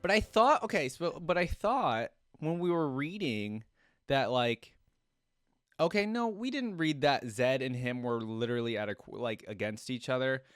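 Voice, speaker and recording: A man's voice: medium pitch, animated, moderate pace, smooth, no echo, studio quality. A man hosting a reaction video, chatting casually and thinking aloud as he comments.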